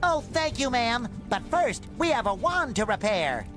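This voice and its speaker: A man's voice: extremely high pitch, sing-song, a little slow, over loud music, full character voice. A man voicing an eager, naive cartoon sea sponge hero in a video game, earnest and childlike. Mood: eager, polite. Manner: chipper, earnest, polite. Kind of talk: animated video game voice acting.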